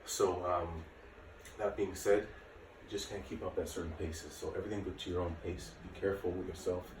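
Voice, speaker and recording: Male fitness instructor, late 30s, very low voice, informal and reassuring, recorded at a distance from the mic. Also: steady tone, brisk, a little mellow. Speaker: martial arts and fitness instructor. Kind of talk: casual workout instruction to camera. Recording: echoey room, distant mic, faint noise.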